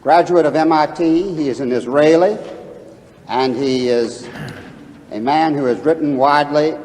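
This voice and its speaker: A man's voice: a little high, unhurried, darkish tone. A man speaking formally as a debate moderator, introducing a guest and putting a question, on an echoey, noisy archive recording.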